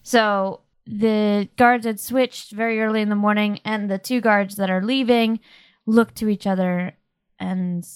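Woman, game master, conversational tabletop narration, medium pitch, very dry sound. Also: steady tone, unhurried, clean tone, no echo.

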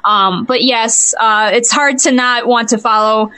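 Higher-voiced, giggly woman co-host. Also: steady tone, unhurried, pushed and bright, clear, resonant tone. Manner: giggly, rambling asides. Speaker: podcast co-host. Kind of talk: casual chat, commentary.

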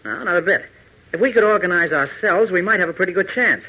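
An actor playing a young, steady, persuasive pilot hero in 1940s radio adventure style. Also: male voice, high voice, fast, full character voice, rough recording. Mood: firm, persuasive.

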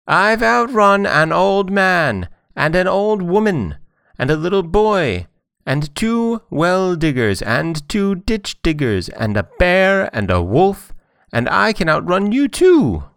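A man's voice: mid-range voice, expressive, normal speed, fairly bright tone, clean tone, dry recording, clean studio sound, voice pushed up. A man voicing a cocky, boastful little runaway johnnycake in a storybook tale, chanting out his brags. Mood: loud bragging. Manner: chanting, bragging list delivery.

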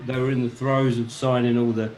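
English musician telling band stories. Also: male voice, medium pitch, a little fast, slight background noise, low-quality recording. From England. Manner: animated anecdotes, quotes others. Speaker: guest band member. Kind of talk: casual interview storytelling.

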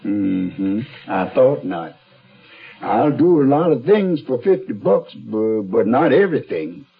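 A man's voice: medium pitch, big pitch swings, takes it slowly, creaky, breathy edge, heavily acted. An actor playing an older American tattoo parlor man, folksy and wily, with slangy drawling patter in a radio drama. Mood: wary, gruff. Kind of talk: 1970s radio drama acting. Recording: dull, band-limited 1970s radio recording.

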